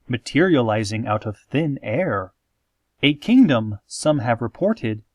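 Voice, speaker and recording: A man playing a calm, eerie small-town radio announcer, reading scripted parody news in a measured, theatrical, deadpan way. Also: mid-range voice, dry recording. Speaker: radio host in a parody podcast.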